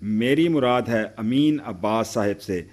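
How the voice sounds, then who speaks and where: A man's voice: on the low side, unhurried, darkish tone. A middle-aged man hosting a TV talk show, introducing guests with effusive praise. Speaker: host. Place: TV studio set.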